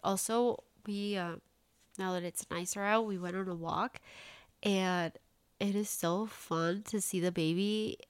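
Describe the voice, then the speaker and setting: A woman's voice: medium pitch, steady tone, unhurried, clear, resonant tone, no echo. A woman in her late twenties hosting a casual solo podcast, chatting in a bubbly way full of "like" fillers, with a stuffy, congested nose. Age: late 20s. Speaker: podcast host.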